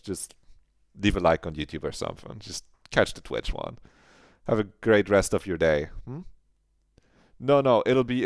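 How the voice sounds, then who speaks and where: Deep-voiced, laid-back male streamer. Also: dry recording, clean studio sound. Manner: laid-back, swears casually. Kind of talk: casual stream commentary.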